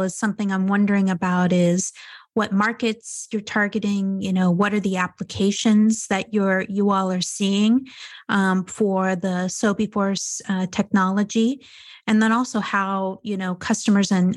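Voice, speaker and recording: Woman interviewer, mid pitch, casual, dry recording. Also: dry recording. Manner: casual, hedging with "um" and "you know". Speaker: podcast host. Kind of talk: interview questions.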